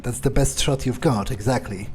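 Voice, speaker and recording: A man roleplaying a ruthless, calculating vampire in a game, musing aloud in halting, profane sentences. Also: medium pitch, a little mellow, soft background music, natural voice. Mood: musing, pragmatic. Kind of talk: in-character improvised game playthrough monologue.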